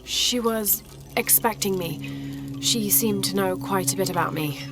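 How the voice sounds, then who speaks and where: An actress playing a thoughtful young woman adventurer in a video game, measured and sincere. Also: medium pitch, natural voice. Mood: earnest, calm. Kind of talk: video game cutscene acting. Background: music.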